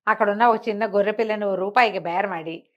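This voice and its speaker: A woman's voice: mid-range voice, quick pace, muffled recording. A woman telling folk tales conversationally, explaining as she goes and posing rhetorical questions. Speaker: storyteller.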